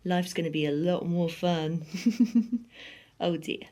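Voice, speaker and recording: A young woman vlogging casually into her phone while out walking her dog in the morning, chatty and upbeat, with lots of fillers and a slightly croaky morning voice. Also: on the low side, slow pace, mellow, slight background noise. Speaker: lifestyle vlogger. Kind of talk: casual vlog chat to camera. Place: outdoors on a morning walk. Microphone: phone held while walking.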